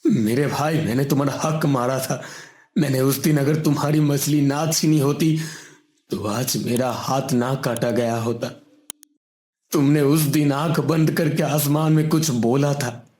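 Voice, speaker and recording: A man's voice: medium pitch, steady tone, measured pace, darkish tone, echoey room, close to an everyday voice. A man voicing a strong male wrestler who begs and pleads, in a Hindi cartoon. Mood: desperate. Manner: pleading, begging.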